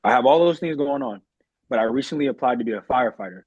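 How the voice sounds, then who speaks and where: Young guy from massachusetts being interviewed, kinda raspy, earnest, polite, dry lo-fi sound. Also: mid-range voice, expressive, fast talker, darkish tone, dry recording. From Massachusetts, United States. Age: young adult. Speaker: guest. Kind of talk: casual interview talk.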